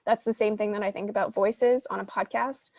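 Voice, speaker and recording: A woman's voice: mid-range voice, fairly even intonation, a little fast, gravelly, a little mellow, dry recording. A woman answering audience questions in a casual teaching session, conversational and thinking aloud as she explains. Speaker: podcast host teaching a live Q&A session.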